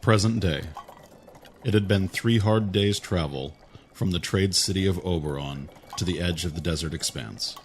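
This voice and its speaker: A male narrator with a very deep voice tells a fantasy tale in a steady, even way. Faint music plays underneath. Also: moderate pace.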